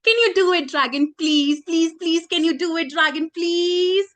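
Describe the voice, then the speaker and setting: A woman voicing a brave storybook princess who flatters and pleads, gushing and emphatic. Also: high-pitched, drawn-out words, voice pushed up, full character voice. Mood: pleading flattery.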